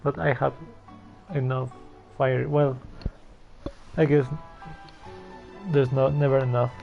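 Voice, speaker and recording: A woman's voice: a bass voice, flat delivery, slow pace, vocal fry. A woman giving casual, laid-back game commentary in murmured asides, recorded on a muffled mic. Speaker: game streamer. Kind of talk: casual let's play commentary. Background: game music.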